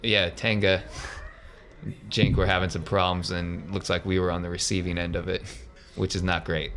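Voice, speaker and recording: A man playing an uneasy, grumbling hired thug who complains haltingly in a tabletop game. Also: low-pitched, flat delivery, fairly bright tone. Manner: halting, plain-spoken complaining.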